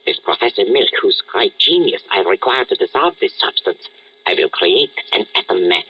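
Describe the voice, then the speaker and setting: Husky, fanatical German villain scientist. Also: male voice, lowish voice, big pitch swings, normal speed. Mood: gloating, grandiose. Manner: commanding, grandiose, urgent. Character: ruthless fanatical Nazi scientist, scheming and commanding. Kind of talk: 1940s radio drama acting. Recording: muffled vintage radio recording.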